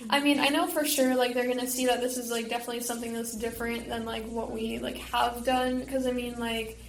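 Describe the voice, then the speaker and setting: Echoey teenage girl, fairly even, higher voice. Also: a little fast. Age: teens. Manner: filler-heavy, frequent "like". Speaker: high school theater student guest. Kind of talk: casual interview chat. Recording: echoey room with faint noise.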